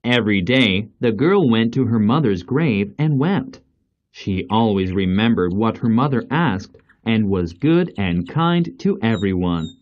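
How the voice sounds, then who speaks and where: Male fairy-tale narrator, unhurried and animated, lo-fi sound. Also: on the low side. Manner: slow, deliberate, expressive. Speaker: storyteller.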